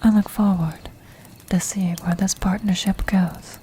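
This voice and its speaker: An ancient, scheming vampire countess, played by a woman speaks in a slow, mellow half-whisper. Her phrasing is formal, poised and regal, in the style of fantasy ASMR roleplay, with steady noise behind her. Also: medium pitch, natural voice. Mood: intrigued, inviting. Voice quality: soft, breathy, whispery.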